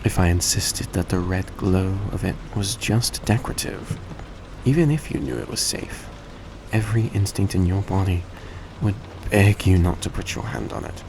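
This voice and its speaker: A man playing a courteous, gentle vampire in an intimate audio roleplay, speaking politely and a little hesitantly to the listener. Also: deep voice, moderate pace. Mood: explaining, relaxed. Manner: soft-spoken, polite, hesitant, formal phrasing. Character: courteous, gentle male vampire repaying a debt. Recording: steady background noise behind voice.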